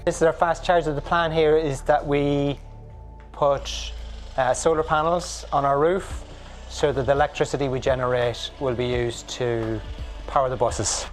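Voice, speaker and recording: A man slowly explaining a local solar and charging plan in a video statement. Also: medium pitch, steady tone, soft background music.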